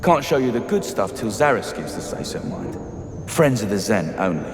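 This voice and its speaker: Swooping, cheeky smuggler merchant. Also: male voice, on the low side, sing-song, normal speed, music in the background. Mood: wry. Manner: folksy, teasing salesman patter. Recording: echoey cavern reverb.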